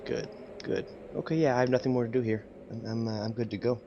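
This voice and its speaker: A man's voice: medium pitch, steady tone, fast talker, dark tone, lo-fi sound, slight background noise. A man playing a tabletop game, hesitant and full of questions. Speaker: player. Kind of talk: casual tabletop play.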